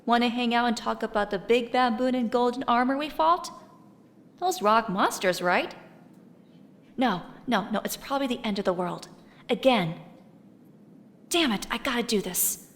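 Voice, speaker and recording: Woman as a high-voiced, snarky teenage schoolgirl. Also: moderate pace, long pauses, natural voice. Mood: sarcastic, exasperated. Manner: snarky, muttering asides. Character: sarcastic, exasperated teenage schoolgirl. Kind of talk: audio drama acting.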